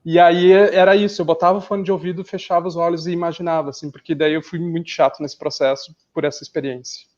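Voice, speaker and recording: Higher-voiced southern Brazilian man chatting, muffled audio. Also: little pitch movement, fast, few pauses, darkish tone. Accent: southern Brazilian, Porto Alegre. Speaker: interview guest, podcast creator. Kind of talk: casual conversational interview.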